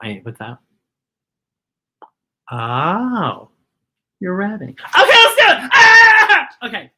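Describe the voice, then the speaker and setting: A woman's voice: fairly high pitch, huge pitch swings, slow, pushed and bright, slightly rough, shouting. A woman hosting a children's story time, reading a picture book playfully and exclaiming directly to young listeners. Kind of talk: animated children's picture-book read-aloud.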